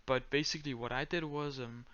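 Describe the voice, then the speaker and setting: Young man, Danish accent, monotone, filler-heavy, mid pitch, slightly muffled mic. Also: fairly quick, a little hiss or hum. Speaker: tutorial creator. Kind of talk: screen-recorded tutorial.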